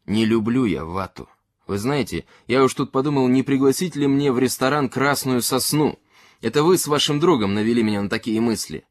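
A man's voice: medium pitch, brisk, no room sound, voice pushed up, lightly acted. A man voicing a tipsy, earnest character in a literary story who philosophises courteously and emphatically, in a rambling way. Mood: rambling, confiding.

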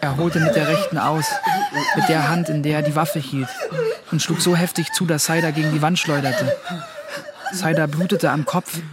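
A man's voice: on the high side, very animated, normal speed, very noisy. A young man playing a shaken teenage boy, earnestly recounting an ordeal in a radio drama. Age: young adult. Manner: earnest first-person recounting. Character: shaken fourteen-year-old boy recounting a hostage ordeal.

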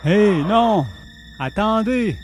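A man's voice: high-pitched, lively intonation, drawn-out words, music in the background, full character voice. A man acting an alarmed, panicked man in a French audio drama. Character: alarmed bearded man fleeing an attacking beast.

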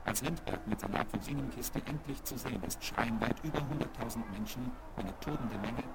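A man's voice: mid-range voice, fairly quick, close to an everyday voice. A man narrating a German children's audio drama in a scripted, dramatic documentary style. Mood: urgent, dramatic. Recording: vinyl rip with loud background noise.

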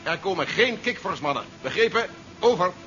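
An actor playing a cautious, commanding army general giving curt orders in a 1970s science-fiction radio play. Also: male voice, high-pitched, lively intonation, moderate pace, sharp tone. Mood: businesslike. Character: commanding, cautious army general running a space capsule recovery. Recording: dull 1970s radio recording, engine noise behind.

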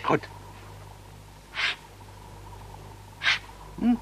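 An actor playing an eccentric, opinionated inventor in a radio play, chatty and indignant, with emphatic rhetorical delivery. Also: male voice, moderate pace, dull audio, slight background noise, close to an everyday voice. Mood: eager. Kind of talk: Dutch radio play dialogue.

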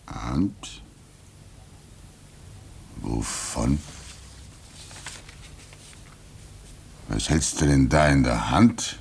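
A man's voice: a bass voice, animated, extremely slow, gravelly, a little hiss or hum, heavily acted. A fatherly old station hand. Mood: uneasy. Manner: gentle, chuckling, soothing. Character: older man at a remote polar station, fatherly and calm. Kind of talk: 1950s radio drama acting.

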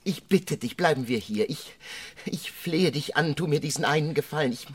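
Flustered, stammering young lover. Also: male voice, fairly high pitch, expressive, normal speed, husky. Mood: pleading, desperate. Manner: stammering, pleading, repeats himself. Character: penniless young male lover, evasive and easily flustered. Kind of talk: German radio drama acting.

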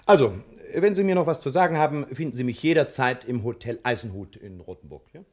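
A man's voice: mid-range voice, expressive, fast talker, lightly acted. A man playing a self-important, wily American private detective in a theatrical, condescending manner, in a 1960 radio crime drama. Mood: self-important, insistent.

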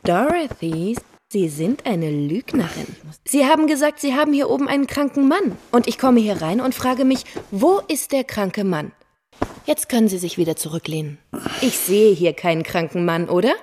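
An actress plays a forward, chatty masseuse in a radio drama. She has a mid-pitched female voice and talks with breezy familiarity, ordering people about and teasing them flirtatiously. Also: animated, normal speed, darkish tone, lightly acted. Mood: teasing, cheeky. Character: brash, chatty masseuse, bossy and playfully flirtatious. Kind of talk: radio play acting.

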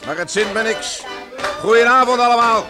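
A man's voice: extremely high pitch, moderate pace, loud music behind the voice. An actor playing a strict, suspicious middle-aged father in a radio play, with a stern, scolding manner. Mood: agitated. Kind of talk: Dutch radio play acting.

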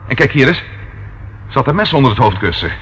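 An actor playing a detective's excitable, grumbling companion in a Dutch radio play, expressive and easily startled. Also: male voice, medium pitch, fast talker. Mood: curious, grumbling. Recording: noisy old radio drama recording.

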